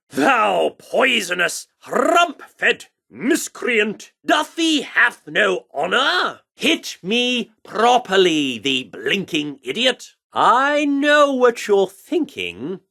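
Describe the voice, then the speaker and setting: A man's voice: very high voice, vocal fry, sharp tone, heavily acted. An actor voicing a pompous theatrical man in a video game, declaiming in archaic, mock-Shakespearean bombast. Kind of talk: video game character voice lines.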